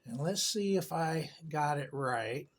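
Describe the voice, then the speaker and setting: A man's voice: fairly high pitch, expressive, takes it slowly. A man giving a casual hands-on tech tutorial in a folksy, rambling way with informal grammar. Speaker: tutorial presenter.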